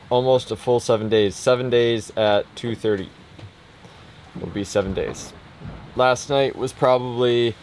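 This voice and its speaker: A man's voice: medium pitch, slight background noise. Wry man filming himself on a boat. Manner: relaxed, wry, self-mocking. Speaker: vlogger sailing solo. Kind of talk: casual vlog to camera. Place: small boat cabin at sea. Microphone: handheld camera at arm's length.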